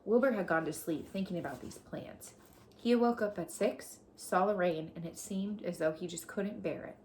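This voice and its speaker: A woman reading a children's storybook aloud as a teacher, deliberate and explanatory as she addresses young listeners. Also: on the low side, darkish tone, slight background noise, rough recording.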